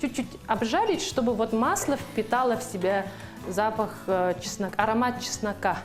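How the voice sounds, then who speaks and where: A woman's voice: fairly high pitch, moderate pace. A young woman from Yakutia, a guest on a TV cooking show, chatting casually and a little hesitantly with many fillers. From Yakutia, Russia. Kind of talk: casual chat while cooking. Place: TV studio kitchen. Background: music.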